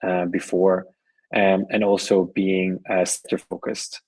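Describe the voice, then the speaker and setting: A man's voice: sits low, completely flat delivery, a little slow, a little mellow, dry recording, poor audio. A middle-aged man being interviewed on a podcast, speaking in an easygoing conversational way with frequent restarts and repeated words. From Switzerland and Colombia. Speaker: podcast guest, venture investor.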